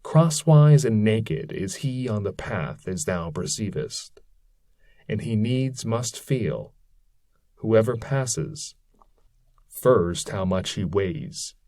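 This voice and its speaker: Guy doing a sad doomed friar from an old epic poem, slow n formal, mellow low voice. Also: very animated, no room sound. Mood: mournful. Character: grieving damned friar, hypocrite's shade in leaden cloak. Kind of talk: dramatic reading of epic verse.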